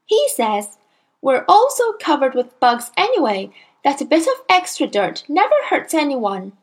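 Storybook kid: a woman playing a plucky little boy, high and lively, slow polite replies. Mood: cheeky. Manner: polite, cheeky, unflustered. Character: brave cheeky small schoolboy.

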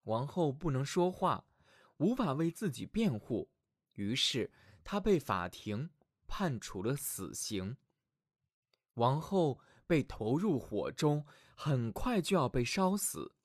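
A man tells a fairy tale as a storyteller for children. His scripted narration is dramatic and clearly phrased, with a pitch that rises and falls widely. The recording is dry and close. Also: big pitch swings, takes it slowly, no room sound. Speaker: children's storyteller, show host.

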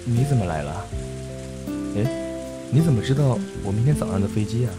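An actor playing an earnest, devoted young man in an audio drama, pleading haltingly and emotionally. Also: lowish voice, lively intonation, loud music behind the voice, natural voice. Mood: subdued, grateful. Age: young adult. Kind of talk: Mandarin audio drama acting.

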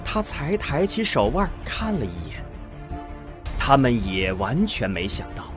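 A man narrating an audio drama from a script in a deliberate, dramatic storytelling manner. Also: medium pitch, wildly swooping pitch. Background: music.

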